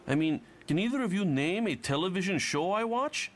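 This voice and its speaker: An actor playing a bitter young Russian mutant who is fed up with heroics, defiant and argumentative, in a motion comic. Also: male voice, sits high, expressive, brisk.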